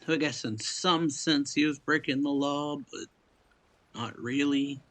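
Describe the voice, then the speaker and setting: A man giving casual, off-the-cuff game commentary in a low-key, hesitant way, often trailing off. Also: unhurried, a bit bright. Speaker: game streamer reacting to cutscenes.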